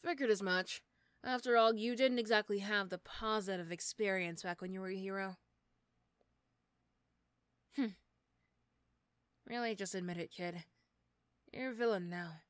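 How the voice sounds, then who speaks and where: A woman playing an irritable, sarcastic female supervillain in a roleplay audio drama, snappy and condescending but gruffly caring. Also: mid-range voice, normal speed, long pauses, no room sound, studio quality, voice pushed down, lightly acted. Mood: condescending, reassuring.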